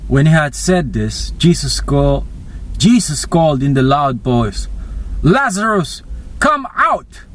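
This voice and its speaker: A man reading scripture as a dramatized narration, deliberate and expressive. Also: mid-range voice, rough recording. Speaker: scripture reader.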